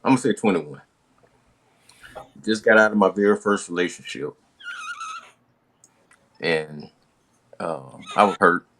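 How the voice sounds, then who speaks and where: A man's voice: deep voice, a little slow, pauses often, a bit bright, a little hiss or hum. An American man hosting a podcast, casually telling stories from his younger days in slangy, profane talk, acting out the dialogue as he goes. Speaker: podcast host.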